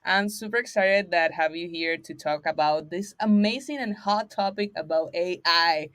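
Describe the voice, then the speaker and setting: A woman's voice: lowish voice, moderate pace, fairly bright tone, no room sound. Enthusiastic woman host, dry sound. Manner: enthusiastic, laughs often, non-native phrasing. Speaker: podcast host. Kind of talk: casual interview hosting.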